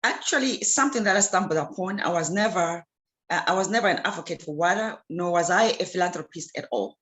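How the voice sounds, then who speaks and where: Earnest low-voiced woman from Zimbabwe. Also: fast, fairly bright tone. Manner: earnest, hesitant, many fillers and restarts. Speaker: guest, clean water advocate. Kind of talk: casual interview answers.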